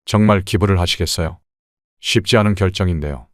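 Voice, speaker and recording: A man acts out a careful, polite legal clerk with a very deep voice. He sounds dry and close, as in a studio audio drama. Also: a little fast, fairly bright tone, no echo. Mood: concerned. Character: cautious middle-aged male legal scrivener.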